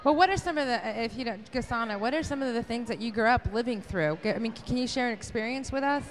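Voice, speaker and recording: A woman co-hosting a talk show, briskly prompting the guests with questions. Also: a little high, lively intonation. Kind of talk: casual interview questions.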